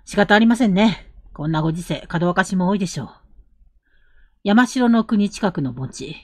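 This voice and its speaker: A woman playing a polite, reserved, determined young traveller in a period audio drama, speaking formally and with measured care. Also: mid-range voice, expressive, no echo, studio quality, close to an everyday voice. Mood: calm, guarded.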